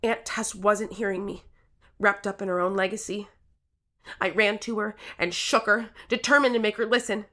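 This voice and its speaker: A woman's voice: medium pitch, fairly even intonation, normal speed, pushed and bright, lightly acted. A woman playing an earnest, determined thirteen-year-old girl who tells her own story with urgency in an audio drama. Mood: tense narration. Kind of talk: first-person audio drama narration and acting.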